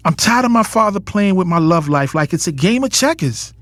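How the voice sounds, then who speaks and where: A man's voice: high-pitched, animated, fairly quick, a bit bright, no echo. A man playing a guarded, lovesick young businessman in an audio drama, earnest and emotionally intense. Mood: defensive, hurt. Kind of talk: audio drama acting with first-person narration. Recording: studio voice over music bed. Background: music.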